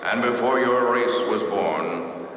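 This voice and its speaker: An ancient cosmic being. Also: male voice, fairly low pitch, fairly bright tone. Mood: solemn. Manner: slow, solemn, intoning. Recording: heavy hall echo from far off.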